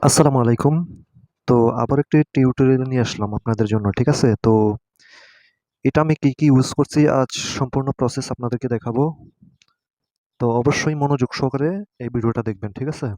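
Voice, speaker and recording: A man leads a casual tutorial in a mellow, dark voice. He explains step by step and keeps adding little check-in questions. The recording is close and dry. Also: mid-range voice, steady tone, fast talker, long pauses, no room sound. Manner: repeatedly adds a checking tag question. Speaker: tutorial host. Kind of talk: casual screen-guided app tutorial.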